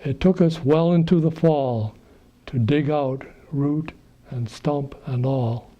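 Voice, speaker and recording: A man's voice: on the high side, slow. A man reading rhyming verse aloud, on a slightly dull, muffled recording. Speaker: reader of poems.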